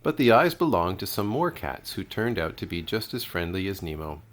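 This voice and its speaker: A man's voice: low-pitched, big pitch swings, normal speed. A man reading children's storybooks aloud expressively, like a kindergarten teacher.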